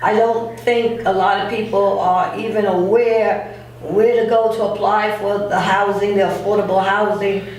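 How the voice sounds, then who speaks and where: A woman hosting a radio show in a casual, plain-spoken, unhurried way. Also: mid-range voice, fairly even intonation, a little mellow. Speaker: radio host. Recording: very echoey room, distant mic, faint noise.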